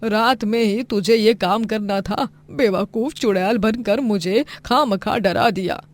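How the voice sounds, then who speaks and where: A woman's voice: medium pitch, a little slow, lightly acted. A woman voicing a grumpy, scolding older mother-in-law in a story cartoon, sarcastic and full of exclamations. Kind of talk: moral story cartoon voice acting.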